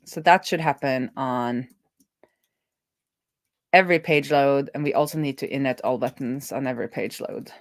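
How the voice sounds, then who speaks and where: A woman's voice: rumbling low, normal speed, pauses often. A woman live-streaming as a web developer, casually thinking aloud as she talks through each coding step. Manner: thinking aloud, talking through steps to herself.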